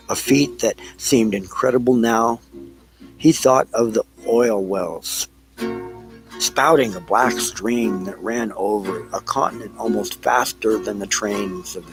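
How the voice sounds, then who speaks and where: Low-voiced man narrating over music. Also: low-quality recording. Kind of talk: dramatic audiobook narration.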